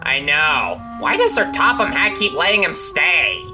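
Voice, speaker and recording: A man's voice: on the high side, sing-song, a little slow, over music. Man voicing a cheeky, swooping steam engine. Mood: weary disdain. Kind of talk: cartoon-style character dub.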